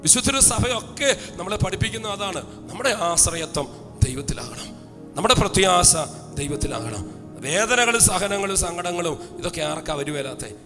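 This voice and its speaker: A man preaching a fiery sermon, urging the congregation again and again to shout hallelujah. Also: high-pitched, expressive, normal speed. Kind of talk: fiery sermon with call-and-response. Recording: long hall reverb with faint music.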